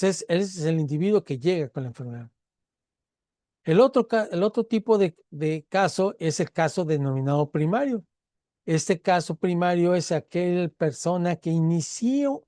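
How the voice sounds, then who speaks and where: Mexican male lecturer, slightly high voice, chatty teaching with fillers, dry room. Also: mellow, dry recording. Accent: Mexican Spanish. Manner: conversational, acts out patient dialogues, frequent fillers and tag questions. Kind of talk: university lecture.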